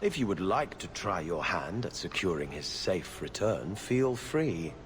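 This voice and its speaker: A man's voice: low voice, sing-song, measured pace, a little hiss or hum. An actor playing a weary, haughty male court steward in a video game, speaking in a formal, clipped and disdainful way. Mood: formal, dismissive. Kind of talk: scripted video game dialogue.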